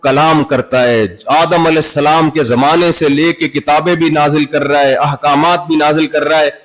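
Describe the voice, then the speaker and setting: Man preaching a sermon in a pakistani urdu accent, chatty, plays out little back and forth scenes, voice a bit high. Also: steady tone, normal speed. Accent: Urdu-speaking Pakistani. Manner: conversational, acts out dialogues with everyday examples. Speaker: Islamic preacher.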